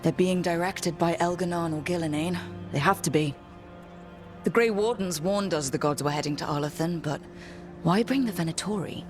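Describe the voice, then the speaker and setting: Actress as low-voiced, wry adventurer heroine. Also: female voice, moderate pace, over music, lightly acted. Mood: urgent, determined. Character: capable adventurer heroine, wry, determined young woman leader. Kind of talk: fantasy video game acting.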